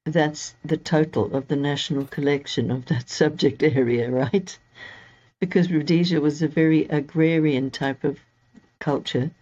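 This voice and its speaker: Unhurried woman from Zimbabwe, soft warm tone. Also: low-pitched, steady tone. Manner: reflective, anecdotal. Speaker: guest astrologer. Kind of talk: conversational interview storytelling. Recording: slightly dull lo-fi audio.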